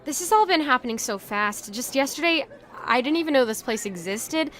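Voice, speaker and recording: A woman's voice: high voice, expressive, fairly quick, a bit bright. An actress playing a naive, polite young woman in an audio drama, hesitant and eager to ask questions. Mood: curious, uncertain. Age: young adult.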